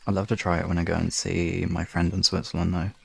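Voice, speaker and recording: Low, gravelly man chatting on stream. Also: little pitch movement, fast talker. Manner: rambling, self-correcting, mildly sweary. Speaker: live streamer. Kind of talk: casual livestream chat.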